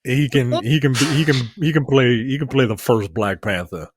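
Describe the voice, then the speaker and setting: A man's voice: mid-range voice, animated, moderate pace, dry recording, clean studio sound. A man co-hosting a podcast in casual chat, emphatic and swearing, repeating his points to drive them home. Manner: emphatic, repeats himself, profane. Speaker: podcast co-host.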